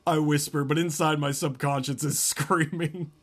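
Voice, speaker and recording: A man doing a mocking, deadpan reading of a romance novel, often breaking off into laughter and asides. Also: fairly high pitch, normal speed. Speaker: podcast co-host reading a novel aloud.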